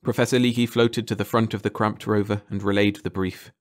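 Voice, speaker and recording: A man's voice: lowish voice, little pitch movement, fairly quick. A man reading a scripted story as an audiobook narrator.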